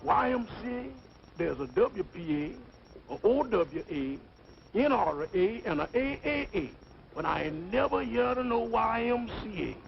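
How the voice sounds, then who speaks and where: High, creaky-voiced excitable porter. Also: male voice, measured pace, heavily acted. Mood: incredulous. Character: bewildered, excitable railway porter. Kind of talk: old-time radio comedy acting. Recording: dull, noisy old radio recording.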